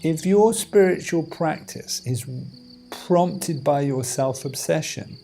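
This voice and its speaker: A man leading a guided meditation as a teacher, in measured, deliberate phrases with pauses between them. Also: on the high side, gravelly, warm tone. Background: music and outdoor insect sounds.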